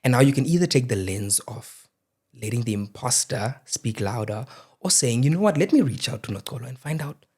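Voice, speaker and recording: A young man from South Africa whose home language is Afrikaans speaks as a guest on a casual podcast. His voice is husky and sits at an ordinary pitch. He talks in a measured, reflective, motivational way. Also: expressive, darkish tone. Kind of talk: casual interview chat.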